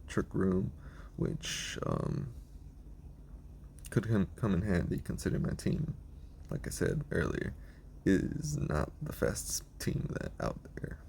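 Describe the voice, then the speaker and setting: Raspy, gravelly man losing his voice. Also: on the low side, darkish tone, a little hiss or hum. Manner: rambling, lots of ums and restarts. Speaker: video creator explaining his team. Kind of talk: casual team breakdown.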